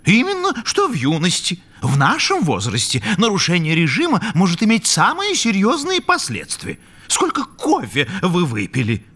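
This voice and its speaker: High-pitched, swooping, fussy old cartoon scholar. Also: male voice, wildly swooping pitch, normal speed, few pauses, pushed and bright, no echo, exaggerated voice. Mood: reproachful. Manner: formal, didactic, fussy wording. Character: pedantic older male cartoon animal scholar. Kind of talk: expressive educational cartoon acting.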